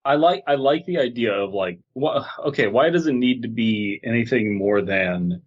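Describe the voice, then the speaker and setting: A man's voice: medium pitch, normal speed. Dull-sounding man riffing on a podcast. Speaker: podcast host. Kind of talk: casual comic riffing. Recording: slightly dull, lo-fi podcast audio.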